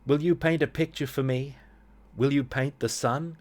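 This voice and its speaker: A man's voice: medium pitch, fairly even intonation, moderate pace, darkish tone, voice pushed up, close to an everyday voice. Man putting on a wistful, mysterious gothic young woman. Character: yearning, fragile young woman, mysterious gothic witch singer.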